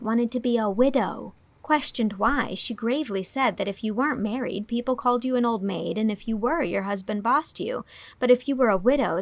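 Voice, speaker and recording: An actress playing an amused, articulate young schoolteacher narrating a letter to a friend in an audio drama. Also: female voice, a little high, lightly acted.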